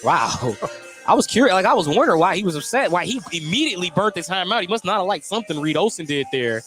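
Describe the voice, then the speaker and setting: Higher-pitched man calling live basketball. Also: sing-song, fast, fairly bright tone, soft background music. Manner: clipped, descriptive call of each play. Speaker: play-by-play announcer. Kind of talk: live basketball radio commentary. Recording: noisy live sports broadcast.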